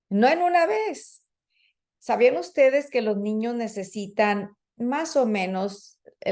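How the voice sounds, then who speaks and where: A woman's voice: mid-range voice, darkish tone. A woman giving a conversational training talk to teachers, using fillers and acting out children and teachers. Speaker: early childhood educator and trainer. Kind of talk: professional development talk to teachers.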